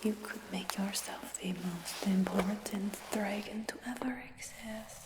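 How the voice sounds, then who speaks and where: Brief: woman as curious dragon hunter, ASMR roleplay, slow hushed whisper, echoey room. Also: mid-range voice, very noisy, close to an everyday voice. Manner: soft unvoiced whisper. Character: curious human dragon hunter who captured a dragon. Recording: roomy whisper with long reverb.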